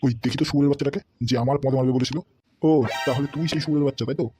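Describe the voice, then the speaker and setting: A bullying cartoon political boss, played by a man, with a short temper. He blusters and curses in a very fast torrent. There is clear music behind the voice. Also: mid-range voice, steady tone, close to an everyday voice. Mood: furious. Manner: blustering, threatening, constantly cursing. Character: bullying, hot-tempered male local politician boss, a father. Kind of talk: comedy cartoon dialogue.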